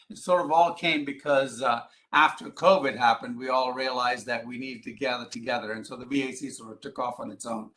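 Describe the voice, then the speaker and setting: A man's voice: medium pitch, bright, forceful tone, rough recording. A man from Ghana and the Middle East speaking to an audience in an explanatory, emphatic way, often posing rhetorical questions. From Ghana, Middle East and East Africa. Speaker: public speaker, advocacy group president. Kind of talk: live lecture to an audience.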